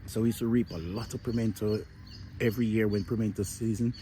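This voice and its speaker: A man's voice: fairly low pitch, steady tone, fast talker, warm tone, slight background noise. Chatty Jamaican man vlogging outdoors. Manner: chatty, addresses viewers as "my people", often drops to a murmur. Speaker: travel vlogger. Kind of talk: casual walking vlog. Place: outdoor hotel garden.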